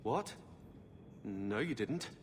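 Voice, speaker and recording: Blunt, defensive companion, animated pitch. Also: male voice, drawn-out words, in a large hall, a little hiss or hum. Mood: flustered, defensive. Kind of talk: dramatic video game acting.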